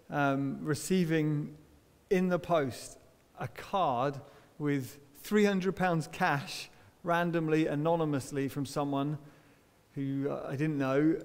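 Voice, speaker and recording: A man's voice: mid-range voice, darkish tone. A middle-aged man preaching a sermon from a church hall stage, telling stories in a conversational way with self-deprecating laughs. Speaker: pastor.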